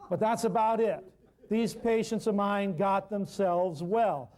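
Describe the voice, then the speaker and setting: A man leads a healing workshop in a loud, emphatic, folksy manner, stacking up short lists of words for effect. His voice sits high for a man, yet it has a mellow, warm tone. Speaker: natural healing teacher. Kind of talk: workshop lecture to a live class.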